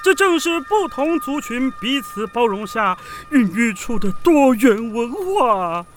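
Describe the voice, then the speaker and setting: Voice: mid-range voice, big pitch swings, measured pace, soft background music. An actor playing a pompous, sentimental ancient male poet as a contest judge in a children's radio drama, speaking grandiloquently. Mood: tearfully moved. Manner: grandiloquent, savoring, prone to tearful rapture.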